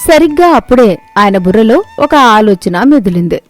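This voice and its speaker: High-voiced woman narrating a moral tale. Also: very animated, moderate pace, faint music behind. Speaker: storyteller.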